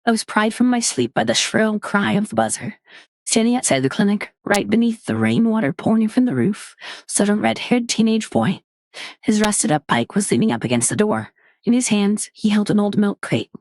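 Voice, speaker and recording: A woman's voice: sing-song, no echo. Woman reading a dry, close horror tale. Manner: measured storytelling, drops low and quiet for tense moments. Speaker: narrator. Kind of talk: dramatic reading of a horror story.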